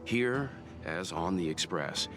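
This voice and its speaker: A deep, earnest crew leader. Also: male voice, lively intonation. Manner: earnest, deliberate. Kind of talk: video game voice acting. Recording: game audio over music.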